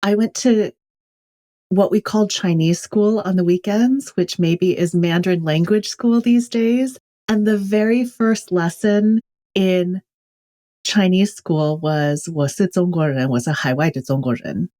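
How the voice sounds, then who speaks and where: Woman from california, lowish voice, thoughtful and candid, talking it through. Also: unhurried, dark tone. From California, USA. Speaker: author and guest. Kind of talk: reflective interview talk.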